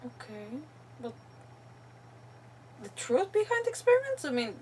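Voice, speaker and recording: Higher-pitched woman streamer, slightly off-mic. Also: very animated, unhurried, husky, far from the mic. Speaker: game streamer. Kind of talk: casual live gameplay commentary.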